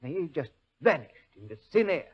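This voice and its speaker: Fretful station official, high voice, respectful, hesitant explanations, vintage radio drama, dull sound. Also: male voice, very animated, normal speed, husky. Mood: earnest, puzzled. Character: deferential, fretful male railway station official. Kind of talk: 1940s radio drama acting. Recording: dull old radio recording.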